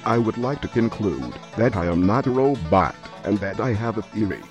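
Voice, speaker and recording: A man reading a scripted podcast outro in a deadpan, formal manner over guitar music. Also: fairly low pitch, normal speed. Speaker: podcast host reading the closing plugs. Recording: dull lo-fi recording under guitar music. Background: plucked guitar music.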